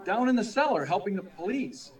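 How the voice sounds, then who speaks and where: An actor playing a meek, earnest young newspaper reporter in a 1940s-style radio serial, hesitant and polite, recorded at a distance. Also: male voice, high-pitched, big pitch swings, brisk, darkish tone. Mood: eager, polite. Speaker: actor in a live radio play. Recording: distant, far from the mic.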